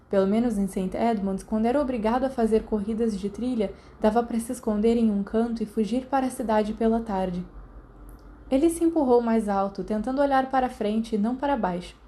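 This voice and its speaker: A woman's voice: on the high side, warm tone. A woman with a Brazilian accent reading scripted audiobook narration. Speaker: podfic narrator.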